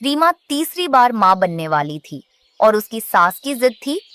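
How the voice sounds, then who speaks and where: A woman narrates a children's story from a script. Her voice is fairly high, and she takes her time with very slow delivery. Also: very animated, fairly bright tone.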